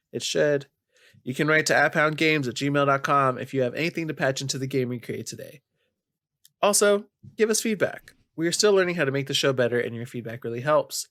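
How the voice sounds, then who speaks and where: A man's voice: a little high, fairly bright tone, no room sound, clean studio sound. A man with a nasal voice, co-hosting a podcast about video games in a casual, chatty style. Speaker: podcast host.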